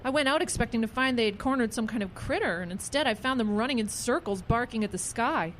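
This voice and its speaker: Lady acting chatty folksy neighbor woman, highish voice, audio drama, bit of noise. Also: lively intonation, quick pace, a bit bright. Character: chatty, folksy female small-town neighbour.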